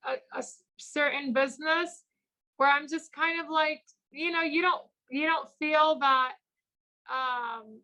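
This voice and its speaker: Bubbly high-voiced woman, chatty and rambling. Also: poor audio. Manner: chatty and rambling, full of "like" and "you know"; animated storyteller who acts out quoted people. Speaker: small business founder, guest. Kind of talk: casual interview chat.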